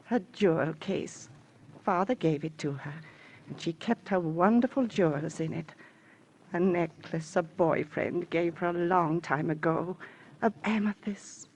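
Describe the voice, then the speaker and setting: A woman's voice: on the low side, unhurried, a little mellow. An actress in an old radio drama playing a distraught, insistent middle-aged woman, pleading with theatrical intensity. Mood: distraught, intense. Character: distraught, insistent fifty-two-year-old woman grieving her missing sister. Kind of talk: 1940s-50s radio drama acting. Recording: vintage radio recording with faint hiss.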